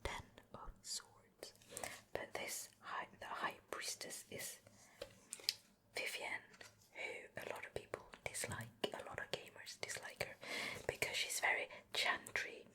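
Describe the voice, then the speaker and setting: A woman hosting an ASMR show and tell in a soft, breathy whisper, chatting casually and unhurriedly close to the microphone. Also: measured pace. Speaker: ASMR host. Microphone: close ear-to-ear microphone.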